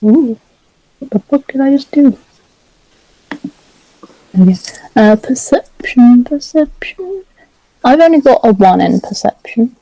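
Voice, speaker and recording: A woman's voice: sits high, expressive, slow, long pauses, no echo, muffled recording. A woman playing in a tabletop game, chatty and casual, with what she calls a big voice. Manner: chatty, says she has a big loud voice. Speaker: player.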